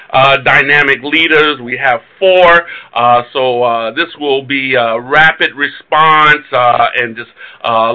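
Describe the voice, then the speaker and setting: A man hosting a conference call, introducing guests with frequent halting filler sounds. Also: mid-range voice, sharp tone, rough recording. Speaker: call host.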